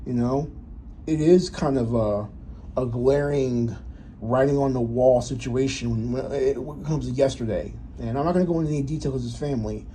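A man in his thirties talking in a personal vlog, reflective and halting, with many restarts and fillers. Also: medium pitch, warm tone, a little hiss or hum. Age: 30s. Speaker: vlogger.

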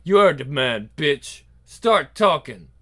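A man's voice: fairly high pitch, animated, slow pace, voice pushed down, exaggerated voice. A man playing a crude, blustering mafia don with a sneering, menacing manner. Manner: sneering, swearing, forceful. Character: brash male mob boss, crude, menacing, blustering.

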